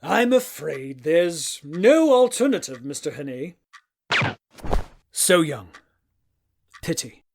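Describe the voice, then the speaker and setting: Guy acting posh-mannered villain professor in a radio play, highish voice, polite but coolly menacing. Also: big pitch swings, vocal fry, heavily acted. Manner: polite, unhurried, understated menace. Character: urbane, coolly menacing professor, secretly a foreign agent. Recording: home-recorded audio play, faint insect-like hiss.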